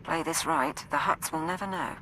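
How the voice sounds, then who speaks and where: A woman playing a composed military support officer in a video game, briefing in a brisk, matter-of-fact way. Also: sits low, sharp tone, slight background noise. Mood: calm, businesslike. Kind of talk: video game dialogue over comms.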